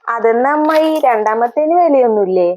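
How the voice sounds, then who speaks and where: A woman's voice: fairly high pitch, expressive, a little fast, mellow, lo-fi sound, lightly acted. A woman playing a fed-up, sarcastic pregnant young mother in a comedy sketch, with a Kannur accent from north Kerala. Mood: pointed, sarcastic. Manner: sarcastic retorts.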